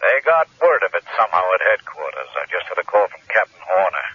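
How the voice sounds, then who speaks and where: A low, husky, uneasy police commissioner. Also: male voice, big pitch swings, fast talker, exaggerated voice. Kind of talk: radio drama acting. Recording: dull, phone-like old radio recording.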